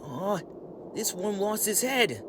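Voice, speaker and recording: A man's voice: high voice, big pitch swings, slow, background noise, full character voice. A man voicing an eager, impulsive young boy turtle in a comic dub, with a boyish, sulky manner. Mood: whiny. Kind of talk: comic dub dramatic dialogue. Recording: music behind the voice.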